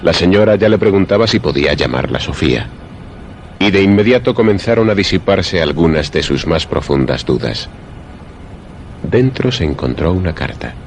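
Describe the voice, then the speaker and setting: Very deep-voiced male suspense narrator. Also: lively intonation, normal speed, faint music behind. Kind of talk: scripted suspense narration for radio theatre.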